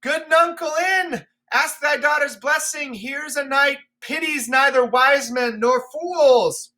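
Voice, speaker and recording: A man's voice: slow pace, sharp tone, exaggerated voice. A man voicing an anxious male court fool who pleads with his master. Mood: pleading. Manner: high, pleading.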